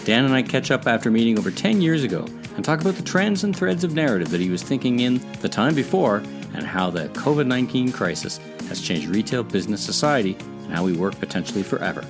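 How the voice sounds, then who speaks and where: A man's voice: mid-range voice, animated, fast talker, few pauses. A man hosting a podcast, reading a scripted introduction and then asking long winding questions that he corrects as he goes. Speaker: podcast host. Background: music under the intro.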